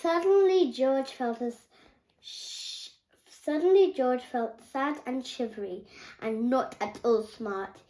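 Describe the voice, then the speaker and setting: A young girl with a high voice reads a picture book aloud. Her pace is extremely slow and careful, and she halts over words, repeats them and corrects herself as she goes. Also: mellow, rough recording. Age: child. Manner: halting, careful reading with stumbles and self-corrections. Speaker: young reader.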